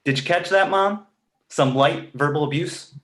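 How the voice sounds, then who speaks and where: A man's voice: a little high, unhurried, fairly bright tone. A man playing a sarcastic baby boy, echoey room. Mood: mock pleading. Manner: dry, mocking, mock-innocent. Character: sarcastic, precocious baby boy needling his father. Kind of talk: animated comedy pilot table read.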